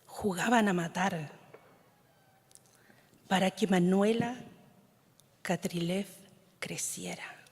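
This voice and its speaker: A woman poet from Chile whose mother tongue is Mapudungun, reading her poems in a measured, solemn way, often letting lines fade into a whisper. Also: lowish voice, darkish tone, husky. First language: Mapudungun (Huilliche). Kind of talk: slow, solemn poetry reading. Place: large conference hall. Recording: long reverb of a large hall.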